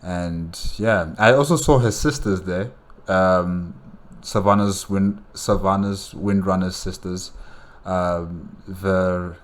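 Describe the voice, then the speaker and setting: Low-voiced man musing hesitantly. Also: slow pace. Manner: hesitant, musing, repeats phrases. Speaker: reaction video host. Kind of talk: casual off-the-cuff reaction commentary.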